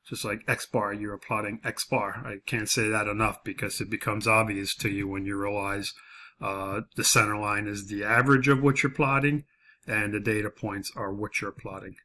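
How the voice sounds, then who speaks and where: A man's voice: on the low side, little pitch movement, moderate pace, bright, forceful tone. A man giving an off-the-cuff tutorial as an instructor, explaining step by step and rephrasing his points. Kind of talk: off-the-cuff tutorial walkthrough of a spreadsheet.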